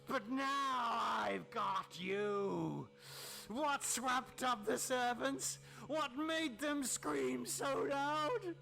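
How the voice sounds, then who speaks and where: A man's voice: very high voice, vocal fry, exaggerated voice. A man voicing a mad, gloating scientist full of hatred in a horror audiobook, theatrical and taunting, drawing out his words. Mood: bitter fury, then raving, grandiose. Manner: theatrical, taunting, drawn-out words, shouted outbursts. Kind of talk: dramatic horror audiobook character reading.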